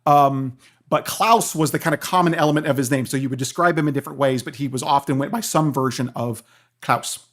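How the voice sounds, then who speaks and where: A man's voice: mid-range voice, a little fast, a bit bright. A man speaking as a guest professor in an interview, explaining things in a professorial way and checking in with listeners.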